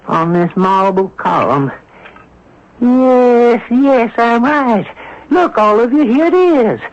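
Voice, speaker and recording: A man voicing an excitable elderly woman in an exclamatory, old-fashioned radio drama style. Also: high-pitched, a little hiss or hum, pitched above the performer's own voice. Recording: dull lo-fi radio recording.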